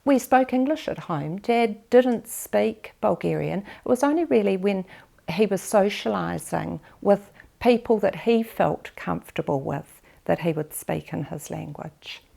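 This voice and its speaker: Woman, New Zealand accent, 50s to 60s, slow, gravelly, reflective documentary memoir. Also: mid-range voice, lively intonation, dark tone. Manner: measured and reflective, with pauses. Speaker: documentary interviewee. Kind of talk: personal family memoir.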